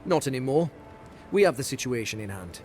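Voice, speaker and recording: A man's voice: mid-range voice, sing-song, brisk, a little hiss or hum, natural voice. A man playing a stern, suspicious and officious guard in a video game. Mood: curt, official.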